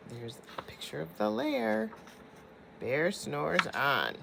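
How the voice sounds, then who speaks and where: A woman's voice: low-pitched, sing-song, slow pace, creaky, background noise. A woman teacher reading a children's picture book aloud, expressively, drawing out phrases and speaking directly to young listeners.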